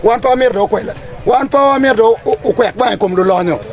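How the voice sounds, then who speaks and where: A man's voice: very high voice, brisk. A man in his thirties with a Ugandan accent, giving an impassioned, declamatory address as a community leader. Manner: emphatic, declamatory, repetitive phrasing. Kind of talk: impassioned public address to camera. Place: outdoors under trees among a gathering.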